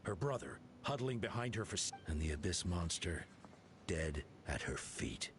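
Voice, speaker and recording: A man voicing an earnest, concerned character in dramatic video game dialogue, with a measured, grave manner. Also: expressive. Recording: clear background noise, low rumble.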